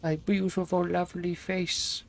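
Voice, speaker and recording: A man's voice: high voice, flat delivery, measured pace, creaky. A man streaming a video game, casually commenting and reading dialogue aloud over game music.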